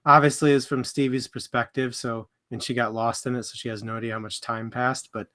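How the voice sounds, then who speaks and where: A man's voice: medium pitch, flat delivery, brisk, a bit bright. A man co-hosting a casual book discussion, speaking informally and steering the conversation. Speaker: book club co-host leading topics.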